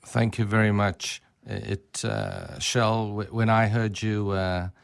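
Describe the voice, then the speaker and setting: Deep, creaky-voiced man speaking formally. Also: flat delivery, no echo, clean studio sound. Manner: formal, ornate, deliberate wording. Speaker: interview guest, winery business owner. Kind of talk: radio interview talk.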